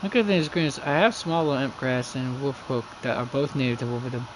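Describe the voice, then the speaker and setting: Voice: mid-range voice, quick pace. Musing game streamer, noisy setup. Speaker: game livestreamer. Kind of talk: casual stream chat and reading game text aloud. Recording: clearly noisy background.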